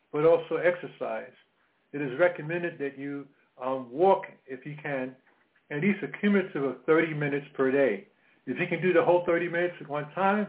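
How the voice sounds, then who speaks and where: A man in his late seventies hosts a holistic health radio show over a narrow, tinny phone line. He talks at a middling pitch in a halting way, with lots of "uh"s and repeated words. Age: 70s. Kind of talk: talk radio monologue.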